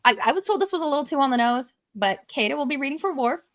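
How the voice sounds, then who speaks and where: A woman with a slightly high voice hosts a live online table read. She talks casually, as a director briefing the group, and tends to chuckle as she goes. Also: animated, very fast. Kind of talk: casual livestream hosting.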